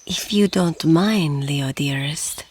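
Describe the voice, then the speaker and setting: Breathy, gentle, affectionate companion. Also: female voice, low-pitched, slow, no room sound, heavily acted. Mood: tender. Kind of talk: game cutscene dialogue.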